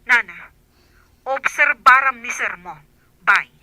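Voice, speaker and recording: A woman's voice: fairly high pitch, expressive, slow, dry recording, exaggerated voice. An actress playing a suspicious, bossy female employer, interrogating impatiently through a telephone filter in a radio drama. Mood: suspicious, scolding. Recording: sharp thin telephone-call sound.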